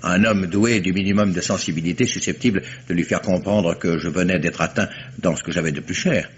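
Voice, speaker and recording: An actor playing a proud, grieving lawyer under police questioning, formal and hesitant, in a 1950s radio drama. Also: male voice, low-pitched, fairly quick, slight background noise, natural voice. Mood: wounded. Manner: formal, hesitant with many fillers, flares into indignation. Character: proud grieving male lawyer, indignant suspect. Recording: muffled old radio broadcast.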